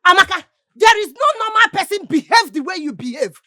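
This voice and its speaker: Indignant, high-voiced Nigerian woman. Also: expressive, brisk, bright, forceful tone, no echo, studio quality, exaggerated voice. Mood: scolding, indignant. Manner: confrontational, rhetorical questions. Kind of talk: film drama acting.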